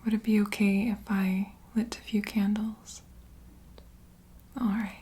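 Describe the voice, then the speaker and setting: A woman softly whispering in a soothing, reassuring, unhurried way, as an ASMR host in a gentle comforting roleplay. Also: medium pitch, little pitch movement. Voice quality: soft breathy whisper.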